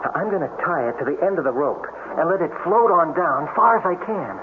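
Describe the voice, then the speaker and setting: Over a noisy, tinny radio recording, an actor plays a plucky American bachelor trapped in a cave. His delivery is animated, brisk and take-charge, in the manner of 1970s radio drama. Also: fairly high pitch, natural voice. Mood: resourceful. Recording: noisy, narrow-band old radio recording.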